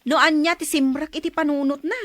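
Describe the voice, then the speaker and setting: An actress playing a practical farmer's wife who complains in a gently teasing way. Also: female voice, high-pitched, steady tone, fairly quick, no echo, studio quality. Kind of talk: audio drama acting.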